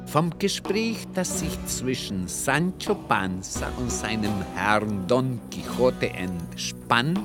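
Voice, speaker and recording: A woman's voice: rumbling low, animated, takes it slowly, few pauses, loud music behind the voice. A woman announcing a chapter heading as scripted narration in a radio play.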